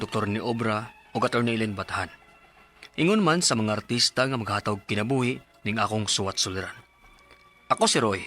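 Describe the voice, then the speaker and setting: A harried Cebuano husband in his thirties, in a 1990s radio drama. His bright, lively voice swings widely and flares into loud, high-pitched outbursts when he argues. Soft music plays underneath. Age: 30s. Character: 33-year-old husband, harried and easily riled. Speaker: letter writer narrating his own story.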